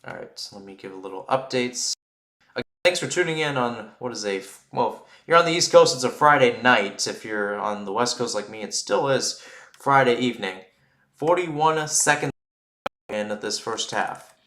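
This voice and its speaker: A man's voice: medium pitch, moderate pace. A man with a mid-range voice commentates live on a football game. He talks through situations in a loose, explanatory way, in the manner of radio play-by-play. The sound is noticeably echoey and roomy. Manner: rambling and explanatory between plays, excited on big plays.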